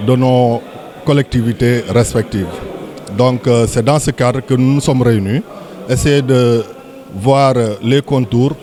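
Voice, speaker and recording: A man with a Senegalese French accent giving a semi-formal interview statement as a local official, in halting, measured official phrasing. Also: medium pitch, a little mellow.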